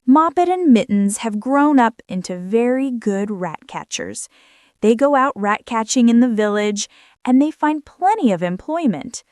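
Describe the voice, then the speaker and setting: A woman narrating a children's bedtime story with measured, expressive, deliberate phrasing. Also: on the high side, no room sound, studio quality. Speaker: storyteller reading a children's book aloud. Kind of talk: scripted storybook narration, bedtime read-aloud.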